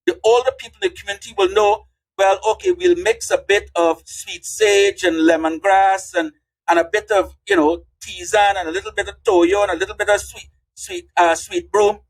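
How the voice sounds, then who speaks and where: A man's voice: high-pitched, normal speed, poor audio. An older man, telling folksy stories as a podcast guest. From Guyana. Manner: animated, folksy storyteller. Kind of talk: casual interview storytelling.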